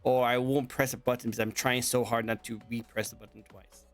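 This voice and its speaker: A man's voice: medium pitch, flat delivery, a little fast. An upbeat man hosts a casual online talk show, chatty and playful. He often repeats his own lines and laughs easily, with a little music under his voice. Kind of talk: casual interview chat.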